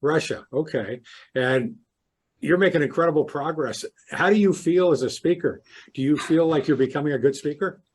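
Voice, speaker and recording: A man's voice: a little high, normal speed, darkish tone, slightly rough. A man interviewing a club member, encouraging and affirming her answers. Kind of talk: conversational interview.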